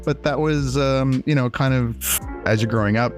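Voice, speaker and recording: A man's voice: medium pitch, normal speed, soft background music. A man in his late forties, a developer telling his story in a casual, rambling, self-deprecating interview. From USA. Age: 40s. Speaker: indie game developer guest.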